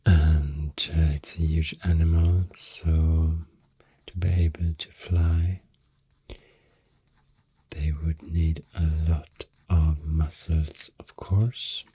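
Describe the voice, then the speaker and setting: Deep, breathy Swedish-accented ASMR man. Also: level tone, no room sound. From Sweden. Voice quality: soft, breathy, often whispery. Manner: unhurried, hesitant, explanatory. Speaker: ASMR creator. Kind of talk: soft-spoken ASMR rambling. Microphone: close microphone. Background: pencil writing and clicking sounds.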